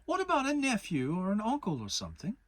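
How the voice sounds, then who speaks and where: A woman's voice: mid-range voice, wildly swooping pitch, fast talker, dry recording, pitched above the performer's own voice. A woman voicing a kindly, matter-of-fact young boy with an earnest, certain way of talking in a children's storybook reading. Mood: musing, decided.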